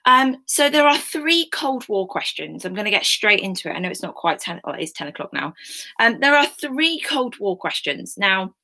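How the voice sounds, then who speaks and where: A young woman teaching a history class online, chatty and informal with many ums, her voice slightly croaky. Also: medium pitch, very animated, normal speed, sharp tone, rough recording.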